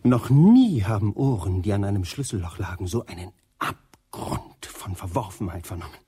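An actor in a radio play voicing a scandalized eavesdropper who declaims with indignation, in a husky voice. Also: male voice, lowish voice, lively intonation, moderate pace, warm tone, faint music behind. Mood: indignant. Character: scandalized eavesdropping man moralizing.

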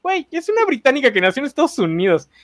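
Fast, sardonic man. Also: extremely high pitch, huge pitch swings, fairly bright tone, no echo, clean studio sound. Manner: opinionated, sardonic, quick rants. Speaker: podcast panelist. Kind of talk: casual panel chat.

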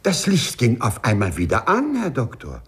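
A man's voice: medium pitch, huge pitch swings, normal speed, breathy edge, exaggerated voice. An actor playing a timid, overly correct male civil servant with hesitant, formal phrasing in a 1950s radio play. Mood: agitated, bewildered.

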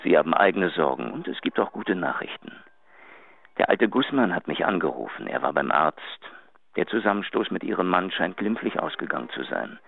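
An actor playing a formal, courteous, apologetic gentleman with a gravelly voice, leaving a voicemail in a radio drama. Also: deep voice, animated, brisk, full character voice. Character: courteous, gentlemanly male acquaintance, quietly smitten and apologetic. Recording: dull phone message, faint music.

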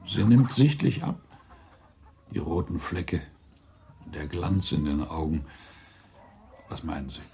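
A man acting a worried older country gentleman in a radio play, plain-spoken and halting, sometimes dropping to a murmur. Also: extremely low pitch, animated, slight background noise, heavily acted.

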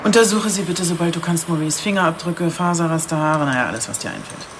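Low-voiced, tough woman police inspector. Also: over loud music. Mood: irritated, firm. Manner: brisk, commanding, blunt. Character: tough, curt female police chief inspector. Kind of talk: radio drama dialogue.

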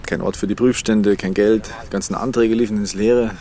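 An actor playing a brisk, irritable, protective rocket engineer in a radio play, with a colloquial, emphatic delivery. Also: male voice, fairly low pitch, steady tone, lightly acted. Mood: frustrated, emphatic. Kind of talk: naturalistic radio drama dialogue. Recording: loud vehicle and outdoor ambience noise.

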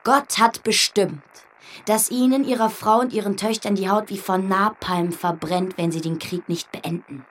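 A woman's voice: medium pitch, fairly even intonation, normal speed, lightly acted. A woman playing a bold, curious, fanciful girl in a radio play, speaking earnestly and insistently. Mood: grave, threatening. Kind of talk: German radio play acting.

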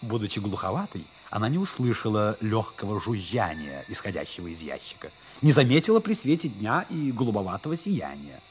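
A man's voice: fairly low pitch, sing-song, fairly quick, hardly any pauses, a little hiss or hum. A man narrating a 1960s radio play in a scripted storytelling style. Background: music.

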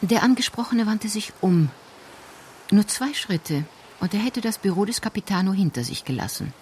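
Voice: medium pitch, lively intonation, moderate pace, darkish tone, slight background noise. A narrator reading scripted narration for a radio crime drama.

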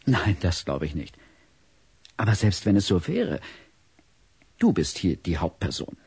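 A big, kindly child psychologist in a radio play, gently probing and explaining. The voice is husky and lively in pitch, recorded dry. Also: male voice, unhurried, no room sound, full character voice. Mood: reassuring, probing. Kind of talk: audio-drama acting.

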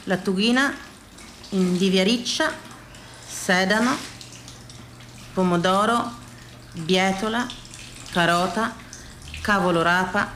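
A woman giving a theatrical recitation, measured, pausing after each item in a list. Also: medium pitch, fairly bright tone, a little hiss or hum. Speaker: stage performer.

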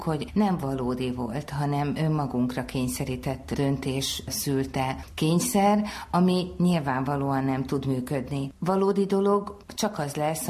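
Female commentator, very deep voice, wordy analytical explaining with wry examples, noisy recording. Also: darkish tone.

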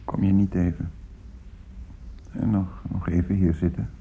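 An actor playing a weary, absent-minded old father in a radio drama, murmuring and repeating himself haltingly. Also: sits low, little pitch movement. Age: older. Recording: muffled, noisy old radio play recording.

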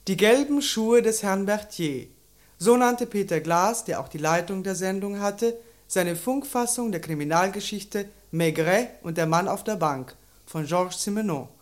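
A radio announcer reading scripted drama credits in a measured, formal way. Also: medium pitch, animated.

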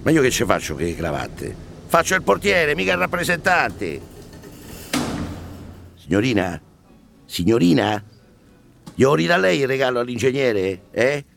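A fussy doorman in a radio play. He stammers and insists in a gravelly voice that swings up and down, always flustered and ready to complain. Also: mid-range voice, sing-song, a little slow, long pauses, fairly bright tone, slight background noise, exaggerated voice. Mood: grumbling, reproachful. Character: flustered, complaining building doorman pestering the receptionist. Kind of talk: radio drama acting.

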